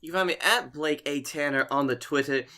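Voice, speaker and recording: Bright-voiced man in casual podcast chat. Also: fairly high pitch, brisk. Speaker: podcast co-host.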